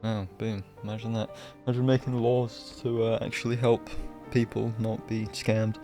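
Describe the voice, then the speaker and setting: A man with a lowish, gravelly voice chats casually as he streams a game. His delivery is offhand and sardonic, with faint game music behind him. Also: level tone, normal speed, warm tone. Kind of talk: casual live game commentary.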